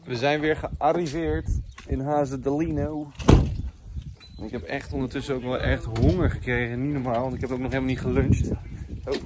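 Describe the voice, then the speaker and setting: A man's voice: mid-range voice, flat delivery, moderate pace, very noisy. A man in his twenties chatting casually to a handheld phone camera as a vlogger, in a restaurant kitchen and along a seaside street. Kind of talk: casual vlog chat. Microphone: phone camera at arm's length.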